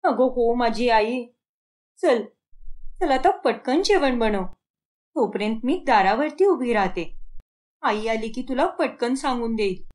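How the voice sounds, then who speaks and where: A woman acts out a young cartoon daughter in a high voice. She is whiny and work-shy, always complaining or coaxing someone to help her. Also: expressive, measured pace, pauses often, darkish tone. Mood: pleading. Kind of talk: cartoon moral story acting.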